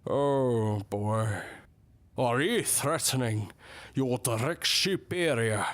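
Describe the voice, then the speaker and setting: A man's voice: mid-range voice, slow pace, fairly bright tone. Guy doing a haughty bully professor for an audio drama, formal, drawn out, looks down on everyone. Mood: smug. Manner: pompous, formal, sneering. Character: haughty, bullying male professor, spiteful and superior. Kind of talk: period audio drama acting.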